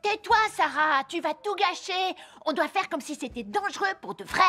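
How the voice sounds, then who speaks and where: A woman's voice: very high-pitched, lively intonation, moderate pace, sharp tone, faint music behind, exaggerated voice. An actress voicing a bossy, showy daredevil boy in a children's cartoon dub.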